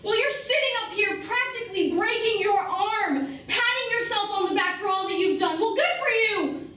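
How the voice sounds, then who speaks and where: A woman's voice: sits high, lively intonation, measured pace. Woman acting embittered struggling mother, very echoey room. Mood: angry, bitter. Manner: emphatic, self-questioning, swearing, builds in rhetorical questions. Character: embittered struggling working-class mother, defensive and pleading. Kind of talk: dramatic stage monologue acting. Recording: very echoey room, distant mic, faint noise.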